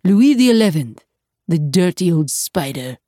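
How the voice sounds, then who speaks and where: A woman's voice: fairly low pitch, lively intonation, slow, mellow, no room sound, clean studio sound. A woman voicing a small, passionate and proud medieval French alchemist man, courteous and declamatory, in a storybook reading. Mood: scornful, glaring.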